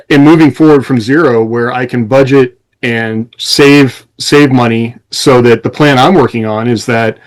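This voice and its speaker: A man's voice: mid-range voice, poor audio. A man co-hosting a podcast, talking in a casual, rambling, explanatory way among friends. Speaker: podcast co-host. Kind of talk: casual conversation among co-hosts.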